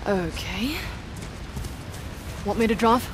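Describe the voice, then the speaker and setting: A woman playing a guarded, businesslike expedition partner in a video game, speaking in a terse, deadpan, matter-of-fact way. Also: medium pitch, slow, close to an everyday voice. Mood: flat, probing. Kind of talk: naturalistic video game acting. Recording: faint game ambience and vehicle noise.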